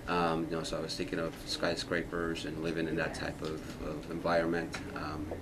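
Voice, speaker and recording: A man from Ecuador telling stories in a relaxed documentary interview, often saying "you know". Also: rumbling low, moderate pace, heavy background noise.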